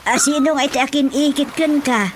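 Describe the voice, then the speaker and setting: A fairly high female voice from an actress plays a skeptical woman of the house with an Ilocano accent. She questions and grumbles in a scolding radio-comedy manner, with clear background noise around her. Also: fairly even intonation, a little fast, natural voice. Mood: suspicious, grumbling.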